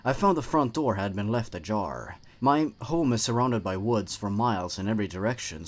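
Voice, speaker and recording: A man narrating horror stories from a script, in a measured delivery that sinks low and hushed at the eerie moments. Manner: measured, hushed storytelling that drops low and quiet.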